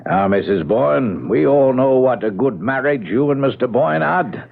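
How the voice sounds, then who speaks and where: Thin, phone-like old radio drama sound. a methodical, deferential police inspector with a husky voice and lively, swinging intonation, patiently probing as he questions. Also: medium pitch, unhurried. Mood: politely probing. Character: polite, methodical male Scotland Yard police inspector. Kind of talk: radio mystery drama acting.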